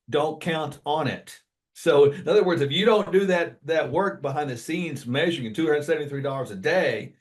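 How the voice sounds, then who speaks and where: A man in casual business podcast talk, earnest and agreeable, speaking in catchy sayings. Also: on the high side.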